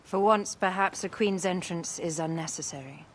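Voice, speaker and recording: A woman's voice: on the low side, normal speed, long reverb tail, a little hiss or hum, natural voice. An actress playing an ambitious queen who speaks in a poised, cutting way. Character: ambitious young queen, cool and scheming.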